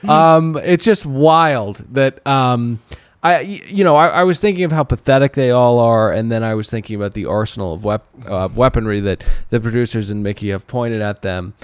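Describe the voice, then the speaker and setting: Halting, sarcastic male podcast host, dry close sound. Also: mid-range voice, clean tone, no room sound. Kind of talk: casual comedic TV recap chat.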